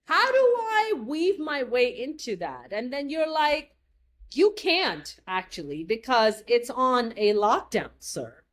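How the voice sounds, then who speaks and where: Animated American woman telling blunt stories. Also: on the high side, takes it slowly. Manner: lively, blunt, swears and jokes freely. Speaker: guest. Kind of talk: casual storytelling chat.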